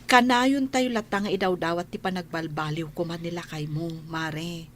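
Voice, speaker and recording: A woman's voice: fairly low pitch, fairly even intonation, normal speed, a bit bright, a little hiss or hum, lightly acted. An actress playing a devout, sympathetic friend in an Ilocano radio drama, earnest and consoling as she advises. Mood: prayerful, consoling.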